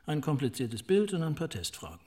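A man's voice: on the high side, big pitch swings, fairly quick, darkish tone. An actor playing a matter-of-fact male magazine picture editor in a German radio drama. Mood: dry, obliging.

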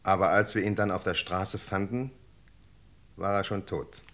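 A man's voice: deep voice, steady tone, moderate pace, fairly bright tone. An actor playing an earnest British police sergeant in a 1960 German radio drama, formally polite and insistent as he questions a witness. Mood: earnest explaining.

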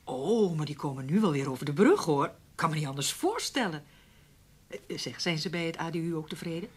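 A woman playing a tactful, persuasive veteran fashion columnist in a Dutch radio play, with a husky voice and a coaxing, familiar manner. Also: low-pitched, animated, fairly quick. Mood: encouraging.

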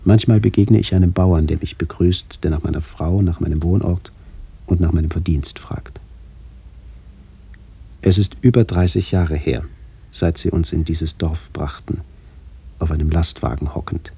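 An actor playing a brooding, melancholy middle-aged man who narrates a literary radio play in a measured, introspective way. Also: low voice, steady tone, vocal fry, dark tone, husky, heavily acted. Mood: somber remembering. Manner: measured, introspective, poetic delivery.

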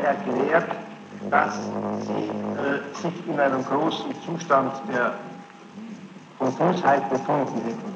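A man questions a witness in formal, halting sentences, insistent and sceptical as he repeats what he hears. His medium-pitched voice rings through a reverberant courtroom on an old archival tape with heavy background noise. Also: creaky, lo-fi sound.

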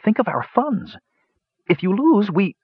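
A man acts a studious youngest brother from a storybook, earnest, prim and touchy. His pitch moves around a lot. The recording is dry and a little dull. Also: sing-song, moderate pace, breathy edge, no echo. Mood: anxious, disapproving.

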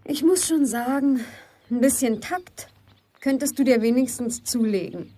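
An actress playing a sharp-tongued, indignant young woman in a German radio play, scolding in colloquial speech. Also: high-pitched, unhurried, breathy edge.

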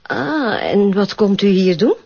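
A woman's voice: mid-range voice, lively intonation, slow, darkish tone, husky, dull audio, exaggerated voice. An actress with a Flemish accent playing a young, polite, hesitant and inexperienced woman in a naturalistic TV drama. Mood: puzzled, hesitant. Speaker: actor.

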